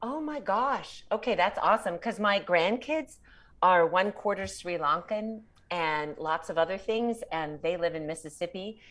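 A woman in her sixties talks as a podcast guest in a relaxed, reflective way, often breaking into laughter. Her voice sits a little low for a woman. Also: a little slow. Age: 60s. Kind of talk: casual interview chat.

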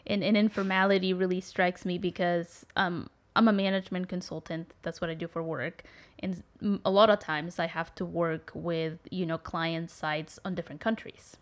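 A woman's voice: lowish voice, fairly even intonation, normal speed. A woman who works as a management consultant, explaining her view earnestly and at length in casual conversation.

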